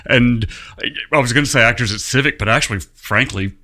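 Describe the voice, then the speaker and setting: Bright, forward-voiced American man chatting. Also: medium pitch, brisk, slight background noise. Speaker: theatre director being interviewed. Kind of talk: casual chat.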